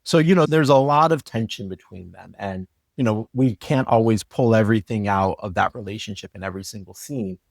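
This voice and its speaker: A man's voice: lowish voice, fairly quick, no echo. A man chatting casually as an interview guest, explaining things as a story editor would.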